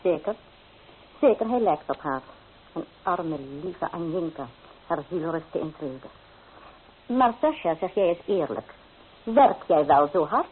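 A woman's voice: on the low side, lively intonation, a little slow, long pauses. A woman playing a stern, haughty old grandmother in a radio play, speaking with blunt, dignified disapproval. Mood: tender, probing. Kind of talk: Dutch radio play acting. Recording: tinny old radio recording with hiss.

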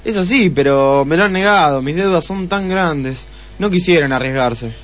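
A man playing a polite, nervous gentleman husband in a comic radio play, formal, evasive and stammering. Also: fairly high pitch, moderate pace, natural voice. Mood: nervous, defensive.

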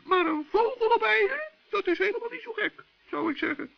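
A man playing a plucky, bossy little forest gnome in a children's radio play, excitable and repeating himself with stammers. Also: fairly quick, full character voice. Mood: bossy, indignant. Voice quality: high, squeaky, creaky. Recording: muffled old radio play recording.

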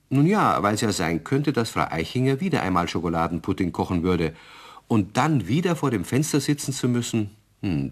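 A man narrating a German children's radio play as a wry storyteller with measured phrasing. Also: fairly low pitch. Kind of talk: scripted narration.

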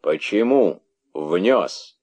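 A man's voice: rumbling low, sing-song, vocal fry, slightly rough, no room sound, exaggerated voice. A man playing a wrathful, drawling rabbit king. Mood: indignant, sarcastic. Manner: grim, commanding, sarcastic, drawn-out words. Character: stern, wrathful rabbit king interrogating his subject.

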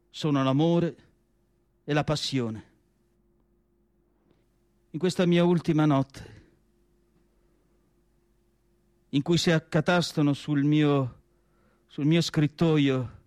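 An actor playing an earnest, melancholy asylum doctor in a theatrical radio monologue, speaking in deliberate, emphatic phrases with weighty pauses. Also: male voice, medium pitch, no room sound, clean studio sound, lightly acted. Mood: solemn, impassioned, then grave, anguished. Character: earnest, melancholy male asylum doctor recalling his life's work.

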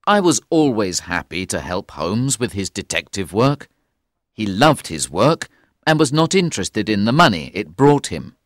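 An actor playing a loyal gentleman who narrates a detective story in a measured, deliberate way. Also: lowish voice, sing-song, no echo, clean studio sound. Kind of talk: slow, clear scripted story narration.

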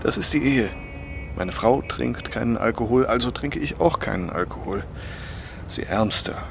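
A bossy jovial older charmer, lots of fry in the voice, talky, radio play with noise behind. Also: male voice, mid-range voice, expressive, moderate pace. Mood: breezy, teasing. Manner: jovial, domineering, talkative. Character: breezy, bossy, garrulous older male bon vivant. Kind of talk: German radio drama acting.

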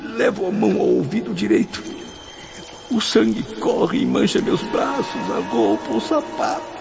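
A man's voice: sits high, lively intonation, unhurried, vocal fry, over music, heavily acted. An actor playing a blind, wounded man who has taken his own life, frightened and desperate, in a radio drama. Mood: anguished. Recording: dull recording with loud noise behind.

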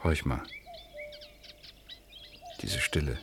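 An actor playing a wry, self-deprecating man in his thirties in a naturalistic radio play, with a gravelly voice and laconic manner. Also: very slow, soft background music. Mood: pensive. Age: 30s.

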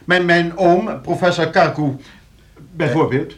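A man's voice: fairly high pitch, unhurried, lightly acted. A man acting in a Dutch radio play as an anxious, flustered nephew, hesitant and stammering. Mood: uneasy. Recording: clearly noisy old radio play recording.

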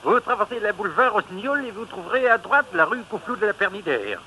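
An actor playing a brisk French policeman with a French accent in an old radio comedy. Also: high voice, sing-song, pushed and bright, heavily acted. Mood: brisk, polite. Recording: faintly noisy vintage radio recording.

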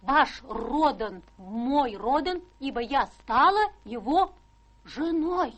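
An actress playing a timid, flustered, sentimental servant-companion in radio-drama style, husky and breathy, with a hesitant, tremulous manner. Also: female voice, sits high, expressive, slow pace, highs cut off. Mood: solemn, tearful. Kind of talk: stage-trained radio drama acting.

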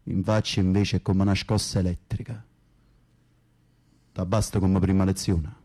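An actor playing a tough, touchy young Camorra gangster in a radio drama, gruff, blunt and boastful, with a Neapolitan accent. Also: male voice, low-pitched, little pitch movement, moderate pace, mellow. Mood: swaggering.

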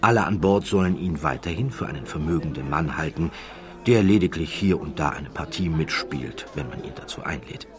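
A man narrating an audiobook from a literary script, with measured, dramatic phrasing. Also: extremely low pitch, lively intonation, music in the background.

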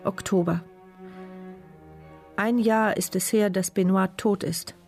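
Give the radio drama a woman narrator, paralysed and watchful, telling her story from the inside. Her pitch sits in a middle range. She carries a tense, vigilant temper, with music behind her. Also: fairly even intonation, slow pace, a little mellow. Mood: tense, bitter. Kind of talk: radio play first-person narration.

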